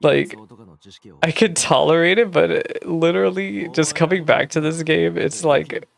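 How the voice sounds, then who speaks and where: A woman livestreaming a game playthrough, chatting casually with viewers in a gushing, expressive way. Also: deep voice, unhurried, gravelly. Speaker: streamer reacting to a game playthrough. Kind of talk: casual livestream chat and commentary. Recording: game music behind the voice. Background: game music.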